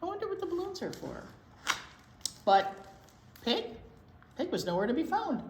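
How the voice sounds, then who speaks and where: A woman hosting a children's storytime, reading aloud expressively with drawn-out words and asides to her young listeners. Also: high voice, very animated. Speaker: storytime host, teacher. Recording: roomy echo, clear background noise.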